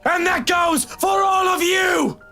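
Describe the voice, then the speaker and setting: A woman voicing a protective, quick-tempered husband in a horror visual novel, with forceful, emphatic delivery. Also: very high-pitched, fairly even intonation, faint music behind, pitched above the performer's own voice, exaggerated voice. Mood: furious. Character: protective, angry husband confronting an intruder.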